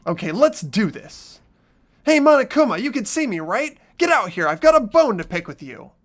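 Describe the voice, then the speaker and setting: Guy playing a anxious earnest high school boy in a game, high voice, very animated. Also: moderate pace, faint music behind. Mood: nervous resolve. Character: earnest, anxious teenage schoolboy, narrating his own story. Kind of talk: fan voice acting of a visual novel game.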